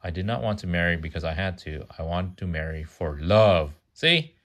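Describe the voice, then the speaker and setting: A man's voice: deep voice, lively intonation, normal speed, a bit bright. A man reading a children's storybook aloud to a child, stumbling over words now and then, correcting himself and adding chatty asides.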